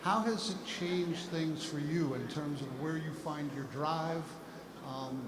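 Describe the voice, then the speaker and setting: A man's voice: on the high side, slow, echoey room, noisy background. A man reporter at a press conference asking long, winding questions.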